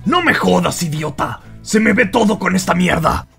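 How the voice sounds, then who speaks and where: Gravelly, hot-tempered teenage boy. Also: high-pitched, animated, normal speed, bright, forceful tone, faint music behind, exaggerated voice. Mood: furious. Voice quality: raspy, gravelly. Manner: aggressive, explosive. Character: hot-tempered, foul-mouthed teenage boy hero student.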